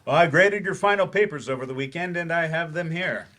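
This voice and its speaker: A man's voice: fairly high pitch, brisk, a bit bright, lightly acted. A man playing an earnest, wry writer turned remedial English teacher in a staged play reading. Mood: encouraging. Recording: live staged reading in a library.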